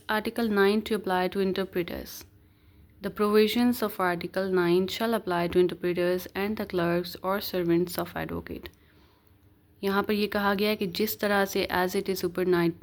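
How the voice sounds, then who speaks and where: A woman with a Pakistani accent giving an explanatory lecture as a law teacher, in a didactic way with short check-in tags. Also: lowish voice. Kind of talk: explanatory lecture, reading statutes aloud and explaining them.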